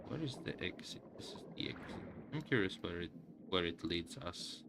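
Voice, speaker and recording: A man doing casual live game commentary as a streamer, musing aloud to viewers in a halting way, with game music behind him. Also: deep voice, animated, background noise.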